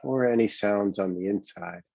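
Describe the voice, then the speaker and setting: A man with a husky voice leads a guided meditation as a coach, speaking gently and conversationally, unhurried and halting, with long pauses. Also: lowish voice. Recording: dull, boxy, roomy lo-fi sound.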